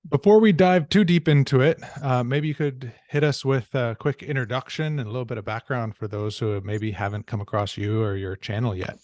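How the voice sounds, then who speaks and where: A man's voice: medium pitch, fairly quick, few pauses, a little mellow, no echo. A man hosting a podcast, introducing a guest and asking friendly, upbeat questions. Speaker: podcast host.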